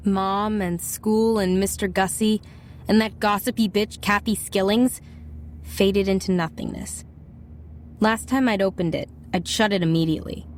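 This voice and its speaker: A woman's voice: mid-range voice, fairly even intonation, measured pace, slight background noise, close to an everyday voice. A woman with an American accent voicing a defiant schoolgirl who narrates her adventure in an audio drama, confiding and dramatic. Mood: defiant, awed.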